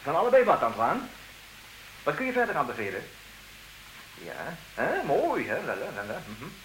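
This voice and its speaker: An actor playing a suave, condescending, idle wealthy bachelor in a comedic radio play, with theatrical mock politeness. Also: male voice, fairly high pitch, huge pitch swings, moderate pace, fairly bright tone, low-quality recording. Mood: breezy, commanding. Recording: hissy old radio drama recording.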